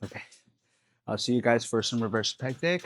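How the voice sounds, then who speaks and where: A man's voice: medium pitch, expressive, brisk, a bit bright, slight background noise. A young man vlogging between sets at the gym, stuffy-nosed and sniffling, talking in a laid-back, rambling way with lots of fillers. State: congested, sniffling. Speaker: fitness vlogger. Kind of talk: casual gym vlog talk.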